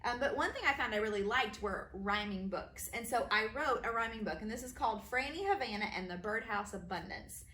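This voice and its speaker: A woman teacher reading children's stories aloud in an expressive, sing-song way for young listeners. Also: on the high side, fairly quick, hardly any pauses. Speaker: kindergarten teacher reading her own stories. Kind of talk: animated children's storybook reading. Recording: roomy echo, faint background noise.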